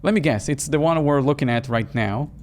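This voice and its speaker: A man's voice: medium pitch, brisk. A man hosting a gaming video, giving casual playthrough commentary with joking asides. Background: music behind the voice.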